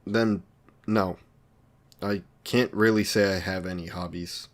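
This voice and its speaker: Slow, awkward lonely villain played by a man. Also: low voice, steady tone. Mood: somber, guarded. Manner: halting, hesitant, awkward, apologetic. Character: awkward, lonely male villain, earnest, anxious to please. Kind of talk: romantic audio roleplay, addressed to the listener.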